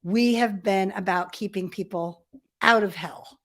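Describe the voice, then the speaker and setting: A middle-aged woman from Ethiopia, telling personal stories as an interview guest in a candid, animated way with self-deprecating humour. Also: mid-range voice, a little slow. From grew up in Ethiopia as a missionary kid. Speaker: podcast guest. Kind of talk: conversational personal storytelling.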